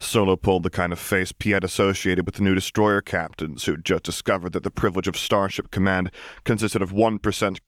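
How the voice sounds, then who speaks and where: Deep-voiced guy narrating an audiobook story, really dry close recording. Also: no room sound. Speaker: audiobook narrator of fan fiction.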